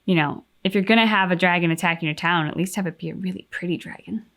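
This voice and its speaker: Lower-pitched woman chatting reflectively. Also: quick pace, fairly bright tone. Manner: reflective, hedging with likes and you knows. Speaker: co-host of game reaction channel. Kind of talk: casual discussion, giving opinions.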